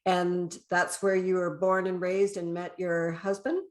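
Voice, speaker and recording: A woman's voice: lowish voice, flat delivery, measured pace. A woman with a Canadian accent, speaking as the host of a podcast interview.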